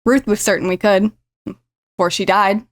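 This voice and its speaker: A woman's voice: medium pitch, fairly even intonation, unhurried, lightly acted. An actress playing a chatty, sardonic woman in her late twenties in an audio drama. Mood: wry, gossipy. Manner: wry, chatty.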